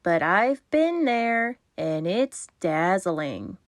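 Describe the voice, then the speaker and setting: A kindly storybook tortoise, voiced by a woman, takes his time over each phrase, stretching words out slowly. The sound is close and dry. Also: on the low side, sing-song, no echo, exaggerated voice. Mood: slow, dreamy. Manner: slow, drawn-out delivery.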